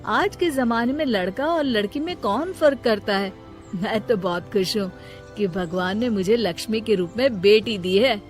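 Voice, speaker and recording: A woman's voice: mid-range voice, moderate pace, faint music behind, close to an everyday voice. A woman voicing a modern-minded grown son with a reasoned, reproving manner.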